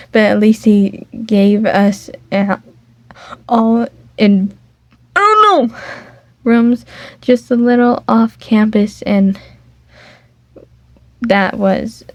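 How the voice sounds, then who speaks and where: A woman's voice: medium pitch, no room sound. A woman reading a fan dating sim aloud, rambling and stumbling over words, on a dull lo-fi recording. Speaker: fan game reader. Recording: dull lo-fi dry home mic.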